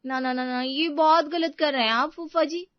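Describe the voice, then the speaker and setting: Woman playing an earnest young daughter-in-law, highish. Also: steady tone, normal speed, no echo, highs cut off, lightly acted. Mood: insistent. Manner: earnest, emphatic. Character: dutiful, eager young daughter-in-law, respectful and insistent.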